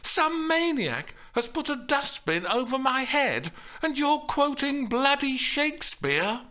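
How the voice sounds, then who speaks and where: A man voicing a furious, sharp-tongued, easily outraged woman in scathing, exclamatory audiobook dialogue. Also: extremely high pitch, sing-song, slow pace, voice pushed up, full character voice.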